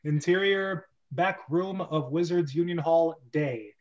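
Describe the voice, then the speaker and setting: A man's voice: on the high side, unhurried, muffled recording. A man chatting casually as host of an amateur script reading, handing out parts.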